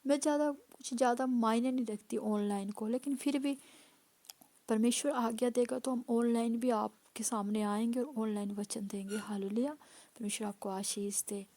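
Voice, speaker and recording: A woman pastor preaching a sermon with a hoarse voice, driving points home through repeated phrases and calls of hallelujah. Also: fairly high pitch, fairly even intonation, normal speed, dark tone.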